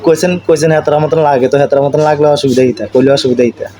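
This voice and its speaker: A man's voice: a little high, fairly even intonation, quick pace, mellow. A man with an eastern Bengali dialect, chatting casually and teasingly. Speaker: vlogger chatting with a friend. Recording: noisy outdoor recording with traffic ambience.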